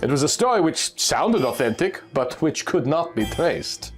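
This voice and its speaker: A narrator, a man, puts on a shrewd, confident Austrian ex-soldier from a pulp adventure. He speaks deliberately and conspiratorially, pitched a little high with lively swings, over faint music. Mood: sly, assured. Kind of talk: dramatic reading of pulp magazine dialogue.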